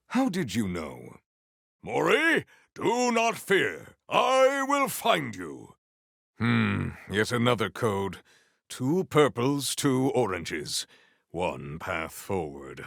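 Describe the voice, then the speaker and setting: Game warrior-poet: battle-eager, lofty formal diction, gravelly edge, very dry close sound. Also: male voice, mid-range voice, wildly swooping pitch, very slow, a bit bright, no echo, heavily acted. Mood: musing, stately. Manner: grandiose, formal, theatrical diction. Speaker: video game voice actor. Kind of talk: scripted game character lines.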